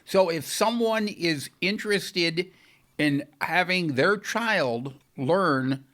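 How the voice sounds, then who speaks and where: A man's voice: fairly high pitch, animated, slow, a bit bright. An older man hosting a talk show interview from a TV studio, friendly and conversational. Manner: friendly, conversational, leading questions. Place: TV studio set. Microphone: studio boom mic.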